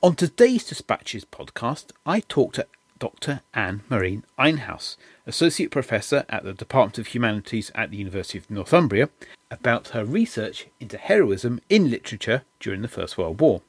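A man presents a history podcast with formal, measured delivery and a very animated pitch. His voice is recorded close and dry, with no room sound at all. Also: breathy edge, no room sound. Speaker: podcast host. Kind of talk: scripted intro and interview questions.

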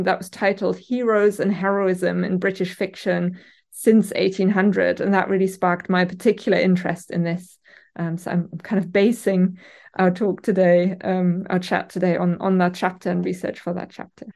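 A woman with a German accent talks through her research in an interview, her voice sitting at an ordinary middle pitch. She thinks aloud, hesitating with frequent ums and self-corrections as she builds each point. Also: level tone, normal speed, a little mellow. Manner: thoughtful, hesitant with many ums and restarts. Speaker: literature academic guest. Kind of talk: conversational interview answers. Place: university office. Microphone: remote call from office.

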